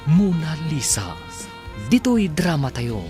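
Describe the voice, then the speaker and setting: A man's voice: a little high, sing-song, slow pace. Theatrical man announcing over music. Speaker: radio drama announcer. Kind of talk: dramatic scripted radio narration. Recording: music under the voice.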